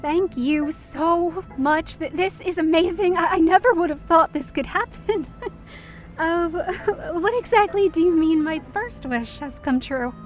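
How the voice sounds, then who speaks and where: A woman's voice: very high voice, unhurried. A woman playing a hopeful, down-on-her-luck heroine who tells her own story earnestly and expressively in an audio drama. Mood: grateful, bewildered. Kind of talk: first-person audio drama narration and dialogue. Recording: roomy echo, distant mic, music behind.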